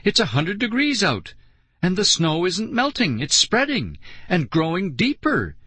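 Man playing a flustered, mischievous young boy, raised pitch. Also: huge pitch swings, normal speed, sharp tone, breathy edge, no echo, exaggerated voice. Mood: alarmed, flustered. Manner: exclaiming, sputtering. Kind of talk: children's audiobook character voice.

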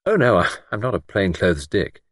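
A man voicing a wry, gently persistent gentleman investigator in an audiobook, asking patient, probing and direct questions. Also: mid-range voice, very animated, normal speed, dry recording, studio quality, pitched above the performer's own voice. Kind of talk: audiobook character dialogue.

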